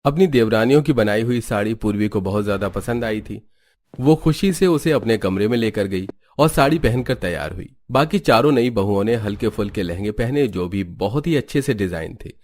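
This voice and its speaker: A man's voice: on the low side, animated, normal speed, a little mellow. A man reading scripted narration for a story.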